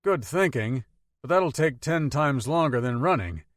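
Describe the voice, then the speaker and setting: A man's voice: mid-range voice, expressive, a little slow, dry recording, clean studio sound, voice pushed up, close to an everyday voice. A man voicing an easygoing young man with a country accent who talks candidly and cautiously, in an audiobook reading. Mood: thoughtful. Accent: American Southern-style country.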